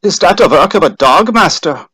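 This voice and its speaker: A man's voice: high-pitched, expressive, normal speed, a bit bright, husky, dry recording, pitched above the performer's own voice, exaggerated voice. A man voicing a frightened, panicky soldier with a shuddering delivery in a dramatic story reading. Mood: ironic. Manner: gibbering, shuddering.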